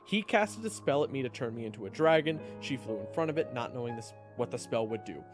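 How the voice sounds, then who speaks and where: A man's voice: mid-range voice, expressive, fast, echoey room, big-room sound, soft background music, lightly acted. A man playing a nervous, sardonic young adventurer who narrates his own fantasy story with dramatic, wry delivery. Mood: nervous, pleading. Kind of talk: first-person fantasy story narration and acting.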